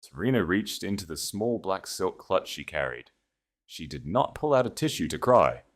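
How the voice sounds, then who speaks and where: A man with a low-pitched voice narrates a piece of fiction. He reads from a script in a dramatic audiobook style. The delivery is that of a storyteller carrying the scene. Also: big pitch swings, normal speed.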